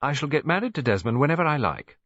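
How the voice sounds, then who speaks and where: A man acts out a headstrong young English woman from a storybook. She talks quickly and sharply, with a defiant edge and swooping intonation. The recording is dry and slightly muffled. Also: on the high side, very animated, a bit bright, dry recording, voice pushed up.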